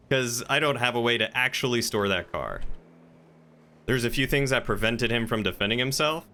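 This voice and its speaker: A man's voice: medium pitch, animated, normal speed, pauses often, pushed and bright, a little hiss or hum. A man streaming a video game, chatting casually and musing aloud to himself as he plays. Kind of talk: casual livestream chat while gaming.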